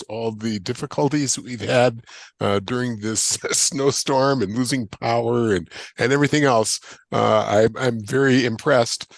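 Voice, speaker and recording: A man with a mid-range voice closes an online meeting. The sound is dry and close, and he talks in an appreciative tone, pausing often on "uh". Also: mid-range voice, no room sound. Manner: appreciative, with many "uh"s. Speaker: meeting organizer closing the session. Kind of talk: closing remarks in an online meeting. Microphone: video call.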